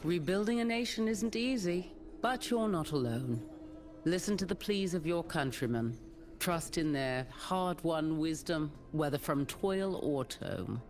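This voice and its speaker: An actor playing a noble, wise adventurer in a fantasy game, speaking earnestly in a declamatory way. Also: male voice, on the high side, expressive, unhurried. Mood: encouraging, earnest. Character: heroic male adventurer, noble, wise counselor. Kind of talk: dramatic fantasy game dialogue. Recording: music clearly behind the voice.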